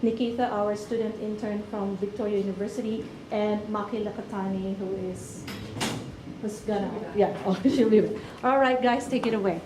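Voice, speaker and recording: A woman's voice: medium pitch, fairly even intonation, moderate pace. A woman organizer giving an informal introduction of speakers at a live conference. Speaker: event organizer introducing the panel. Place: conference room, live event. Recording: echoey room with faint noise.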